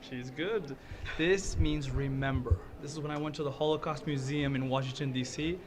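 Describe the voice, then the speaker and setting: A man's voice: medium pitch, steady tone, moderate pace, slight background noise. A middle-aged man, an actor talking earnestly and emotionally at an indoor red carpet interview, often restarting his words. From Venezuela.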